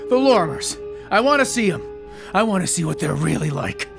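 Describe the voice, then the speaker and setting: An actor playing a stubborn, agitated, paranoid conspiracy theorist in an audio drama, insistent and intense. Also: male voice, high-pitched, very animated, moderate pace, creaky, over music, full character voice. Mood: defiant, urgent.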